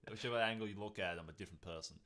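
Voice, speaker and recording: A man co-hosting a podcast, chatting casually in an animated, jokey way. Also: on the low side, rapid-fire. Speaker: podcast co-host. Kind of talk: casual chat.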